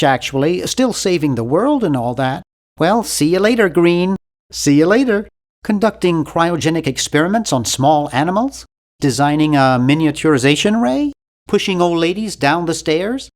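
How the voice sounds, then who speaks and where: A man playing an earnest, awkward, nerdy young cartoon hero in an adventure game, with a nasal, geeky, carefully worded delivery. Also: sits high. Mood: curious, awkward. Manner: geeky, over-articulate, earnest. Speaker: video game voice actor. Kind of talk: animated adventure game character lines.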